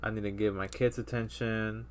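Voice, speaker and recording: Low-voiced man rambling on a podcast. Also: flat delivery, normal speed, slight background noise. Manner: rambling, many fillers and restarts. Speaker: podcast host. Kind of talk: casual chat.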